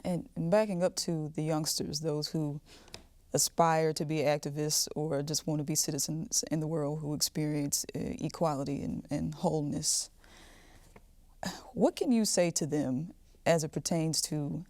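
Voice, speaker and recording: A woman hosting a podcast interview, asking long, reflective questions in a calm way. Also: low-pitched, moderate pace, no echo. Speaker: podcast host.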